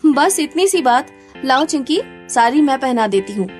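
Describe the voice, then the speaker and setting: A woman's voice: high-pitched, animated, moderate pace, soft background music. A woman voicing a kind but firm schoolteacher who explains things to children in a cartoon story. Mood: reassuring.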